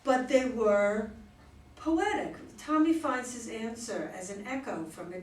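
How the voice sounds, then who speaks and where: A woman's voice: a little high, lively intonation, measured pace. A woman talking casually as the organizer of a play-reading group discussion. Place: indoor room at a group meeting. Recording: roomy, distant mic with background noise.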